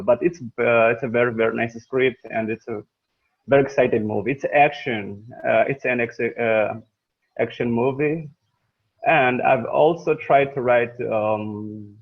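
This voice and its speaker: Man from mozambique, english not his first language, gravelly, tinny phone-ish sound. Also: medium pitch. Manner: eager, repeats phrases. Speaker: new meeting participant. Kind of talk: casual self-introduction. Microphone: video call. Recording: tinny phone-like call audio.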